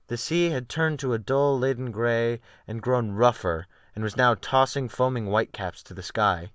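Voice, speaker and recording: A man giving a theatrical, expressive dramatic reading of literary narration as an audiobook narrator. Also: mid-range voice, normal speed, no echo, studio quality.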